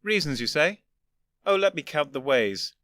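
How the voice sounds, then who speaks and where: Man voicing an elderly gentleman, condescending, lecturing, weary patience, raised bright voice, storybook. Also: fairly high pitch, sing-song, normal speed, voice pushed up. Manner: precise, lecturing, wearily patient.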